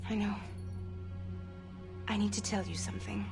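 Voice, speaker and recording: A woman's voice: mid-range voice, fairly even intonation, moderate pace, over music, lightly acted. An actress playing a determined woman urgently explaining a betrayal in a video game cutscene. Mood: earnest. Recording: game score loud behind.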